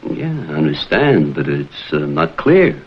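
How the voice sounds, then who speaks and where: An actor playing a wry, laconic private detective in a vintage screen drama. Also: male voice, a bass voice, big pitch swings, unhurried, background noise, full character voice. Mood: dry, businesslike. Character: wry, cool private detective, adult man. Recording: dull vintage TV soundtrack, background music.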